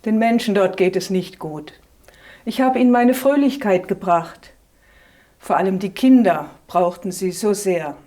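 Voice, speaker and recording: Serious wise traveler, played by a woman: unhurried, gentle, earnest. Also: mid-range voice, lightly acted. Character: once cheerful wise traveler, now serious. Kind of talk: amateur church nativity play.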